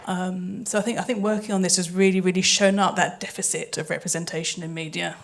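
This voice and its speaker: A woman's voice: on the low side, flat delivery, fast talker, vocal fry. A woman speaking on a panel, explaining in detail and thoughtfully, with some hesitant phrasing. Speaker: panelist, audio drama sound designer. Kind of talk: panel talk. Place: live event panel before an audience.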